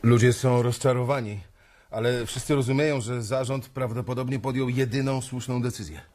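A man's voice: medium pitch, fairly even intonation, a little fast, a little mellow, natural voice. A careful, cautious office worker who reports back to his boss, played by a man in a radio drama. His voice sits in a middle register.

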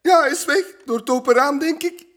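A man's voice: extremely high pitch, fairly quick, a bit bright, no echo, studio quality. A man playing a flustered, naive palace servant in a fairy-tale radio play. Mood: alarmed. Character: nervous, hapless male palace lackey. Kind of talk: fairy-tale audio drama acting.

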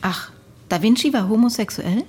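Eine Schauspielerin spielt im Hörspiel eine gefasste Psychologin, sachlich und nachfragend. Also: female voice, fairly high pitch, normal speed, darkish tone, slight background noise, lightly acted. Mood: composed, probing. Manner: matter-of-fact, probing. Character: composed, clinical female psychologist. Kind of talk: radio drama dialogue.

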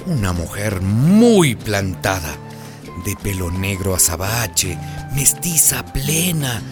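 A man's voice: on the low side, big pitch swings, slow. A man playing a gossipy, watchful neighbourhood narrator in a radio drama, confiding and theatrical. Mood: admiring, gossipy. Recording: music behind the voice.

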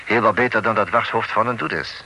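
A man's voice: lowish voice, a little fast, pushed and bright, rough recording. A man playing a wry French-accented official. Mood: dismissive. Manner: dry, knowing, chuckles, sprinkles French words. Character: worldly French-speaking male official, wry. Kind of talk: radio play acting. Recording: old radio recording with faint hiss.

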